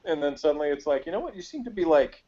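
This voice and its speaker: A man's voice: a little high, sing-song, fast, dark tone. A man giving a casual, wry, rambling review as a panelist in a chat. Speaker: podcast panelist. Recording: dull lo-fi call audio.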